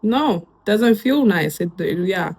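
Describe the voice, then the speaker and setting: A young woman with a Kenyan accent talks casually in a middle-pitched voice. She is chatty and easygoing, with plenty of fillers. She slips Swahili phrases in between her English. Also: lively intonation, takes it slowly, darkish tone. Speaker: YouTuber reacting to online posts. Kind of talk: casual reaction commentary.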